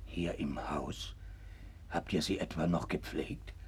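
A man's voice: rumbling low, slow pace, husky, exaggerated voice. An actor playing a grave, dutiful working father in a 1960s German radio play, speaking plainly and soberly. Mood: subdued, worried.

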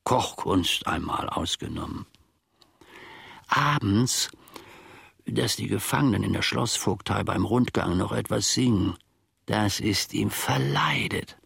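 A gravelly old manservant, a retired soldier full of grumbling self-importance. He muses slowly and theatrically, as in a radio play monologue. The sound is close and dry. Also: on the low side, sing-song, fairly bright tone, no room sound, heavily acted. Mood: disapproving. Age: older. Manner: pompous, musing, theatrical.

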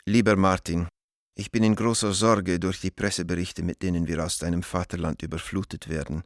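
An actor playing a worried, loyal gentleman who reads his letter to an old friend in a measured, formal way for a radio drama. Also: low-pitched, steady tone, dry recording, studio quality, lightly acted. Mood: worried, restrained.

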